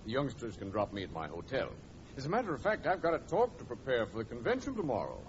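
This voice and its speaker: An actor playing a courteous, genteel, modest middle-aged doctor uncle in an old radio comedy, polite and a little hesitant. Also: male voice, mid-range voice, wildly swooping pitch, quick pace. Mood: polite, genial. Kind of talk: 1940s radio comedy acting. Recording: muffled, noisy old radio recording.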